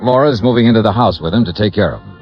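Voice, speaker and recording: Dull vintage radio sound, breezy American investigator, wisecracking, lively swooping delivery, 1950s detective drama. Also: male voice, on the low side, quick pace, faint music behind. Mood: earnest, tender. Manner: wisecracking, wry. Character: wry, breezy male freelance insurance investigator. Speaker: lead actor playing an investigator.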